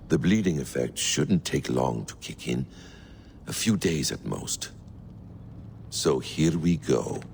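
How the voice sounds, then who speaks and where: A menacing, possessive game villain with an extremely deep voice that swings widely in pitch. He speaks slowly and deliberately, sounding calm and ominous over faint noise. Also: vocal fry, full character voice. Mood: quietly resolved. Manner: slow, deliberate, ominous phrasing. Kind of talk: dramatic video game cutscene acting.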